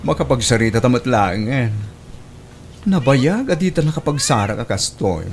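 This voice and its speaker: A man's voice: medium pitch, animated, moderate pace, a little hiss or hum, natural voice. A man playing a friendly, candid office colleague in an audio drama, conversational and matter-of-fact. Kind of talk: Ilocano audio drama acting.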